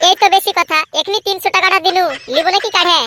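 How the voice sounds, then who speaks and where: A woman's voice: extremely high pitch, fairly even intonation, fast talker, heavy background noise. A woman voicing a cheeky, boastful young male troublemaker in a comedy cartoon, with a brash, cocky manner. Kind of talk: cartoon voice acting.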